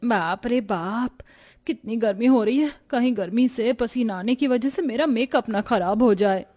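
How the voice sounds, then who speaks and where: A woman's voice: a little high, normal speed, dark tone, natural voice. A woman playing a naive, thrifty young village bride in a comic cartoon moral story. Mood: fretful.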